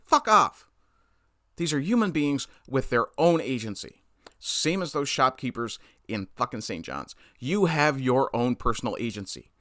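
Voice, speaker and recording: A man's voice: medium pitch, sing-song, measured pace, a bit bright, dry recording, studio quality. A man hosting a casual livestream, bluntly commenting on news and reading chat, often swearing as he rants. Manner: blunt, profane, ranting.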